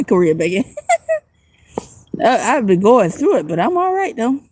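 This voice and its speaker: A woman's voice: on the high side, very animated, unhurried, darkish tone, breathy edge, no room sound. A woman who is a homeless vlogger and music producer, chatting casually and rambling in slang on a phone livestream outdoors at night.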